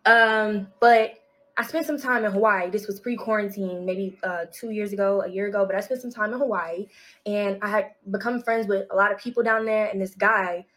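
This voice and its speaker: Woman, mid pitch, blunt, swears, animated quoting. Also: moderate pace. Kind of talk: casual storytelling clip.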